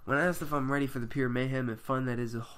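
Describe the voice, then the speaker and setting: A man's voice: mid-range voice, steady tone, quick pace, fairly bright tone, slight background noise. A man with a stuffy head cold, reading a prepared video game review aloud to his viewers with the odd um. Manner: reads a prepared review with filler ums. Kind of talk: scripted review read to camera.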